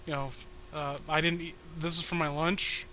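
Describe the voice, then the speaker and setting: A man's voice: fairly high pitch, little pitch movement, normal speed, sharp tone, slight background noise, rough recording. A man voicing a timid, beleaguered male cartoon hero in a mock webcomic reading, hesitant and plaintive. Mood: sheepish, apologetic.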